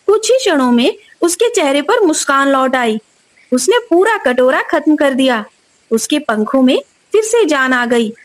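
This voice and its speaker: A woman's voice: high-pitched, sing-song, measured pace. A woman narrating a scripted moral story for children as the storyteller.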